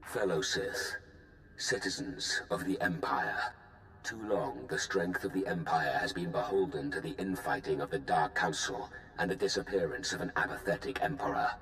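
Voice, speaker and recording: A man's voice: low-pitched, normal speed, fairly bright tone, a little hiss or hum, poor audio. An actor playing a defiant male Sith lord proclaiming a new empire in a commanding broadcast speech, in a video game.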